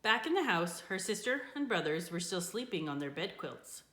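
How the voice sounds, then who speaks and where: A woman reading a children's book aloud in an expressive storytelling style. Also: lowish voice, moderate pace. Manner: expressive, with character voices for dialogue. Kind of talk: scripted storybook narration, read aloud. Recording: echoey room.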